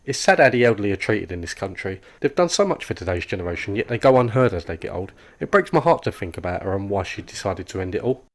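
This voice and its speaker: An actor playing an oblivious, relentlessly chatty office worker in an animated comedy, with a rambling, oversharing manner. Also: male voice, lowish voice, fast, hardly any pauses. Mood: earnest rambling. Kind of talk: comic animated dialogue.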